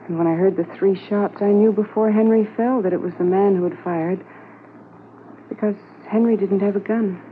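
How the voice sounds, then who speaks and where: An actress playing a stunned, quietly grieving policeman's wife, speaking plainly in a 1950s radio drama. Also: mid-range voice, fairly even intonation, normal speed, close to an everyday voice. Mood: numb recollection. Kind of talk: 1950s radio drama acting, first-person narration. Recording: muffled, slightly noisy 1950s radio transcription.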